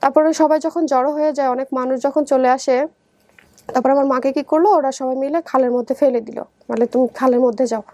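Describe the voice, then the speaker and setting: A young adult woman with a Bangladeshi accent telling her life story in conversation, halting and often repeating herself. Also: high-pitched, steady tone, a little mellow, no echo.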